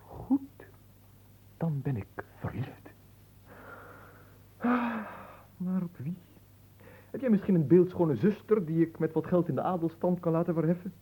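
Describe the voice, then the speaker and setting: A man's voice: on the high side, lively intonation, a little slow, long pauses, dark tone, husky. An actor playing a bored, spoiled young nobleman in a radio drama, melodramatic, languid and pompous. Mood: whimsical, self-pitying. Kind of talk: theatrical radio drama acting.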